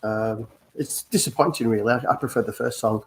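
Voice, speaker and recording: Man, mid-pitched voice, teasing, hesitant, laughing. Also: fairly quick. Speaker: panelist. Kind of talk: casual panel chat.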